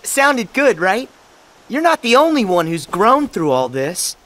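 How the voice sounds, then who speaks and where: A man's voice: sits high, sing-song, unhurried, a bit bright, exaggerated voice. A man playing a cheerful, earnest teenage boy hero in a video game, with an eager, boyish delivery. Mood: playful. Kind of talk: English video game voice acting.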